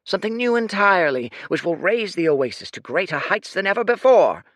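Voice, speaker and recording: A man's voice: high voice, very animated, a little fast, fairly bright tone, no echo, clean studio sound, pitched above the performer's own voice. A man voicing an old, scheming lord who gives lofty public speeches. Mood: grandiose. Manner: declamatory, oratorical. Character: old, scheming male city lord, grand speaker.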